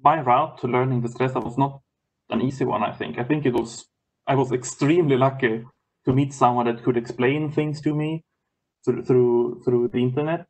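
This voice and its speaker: A man's voice: medium pitch, low-quality recording. A man from Sweden with a Swedish accent, speaking English as his second language, talking as a guest on a video call and often restarting his words. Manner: halting, many restarts and repeated words. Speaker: guest lecturer.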